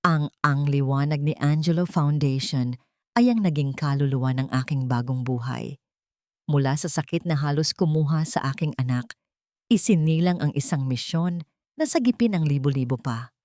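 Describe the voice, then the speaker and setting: A woman narrates a dramatic audiobook story in the first person. Her voice sits low, and the sound is dry with hardly any room in it. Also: normal speed, a little mellow, no echo.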